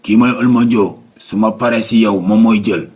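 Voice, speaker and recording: A man's voice: fairly low pitch, fairly even intonation, moderate pace, darkish tone, breathy edge. An actor playing a stern, curt policeman in a comedy cartoon, with a Senegalese accent. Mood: stern, threatening. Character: stern, no-nonsense policeman questioning and ordering people around.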